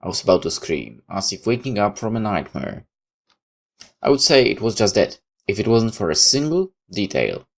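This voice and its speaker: A man's voice: on the low side, very animated, normal speed, gravelly. A man reading a visual novel aloud in first person, sometimes stepping out of the narration for casual comments. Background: background music.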